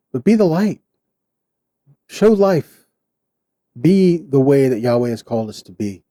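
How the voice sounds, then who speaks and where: A man giving sermon-style Bible teaching in short, deliberate phrases with an earnest, exhorting manner. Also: medium pitch, dark tone, no echo, studio quality.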